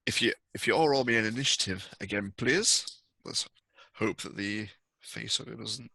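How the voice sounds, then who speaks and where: A man's voice: fairly low pitch, moderate pace, vocal fry, sharp tone, husky, dry recording. A man working as game master, improvising narration for a tabletop horror role-playing game.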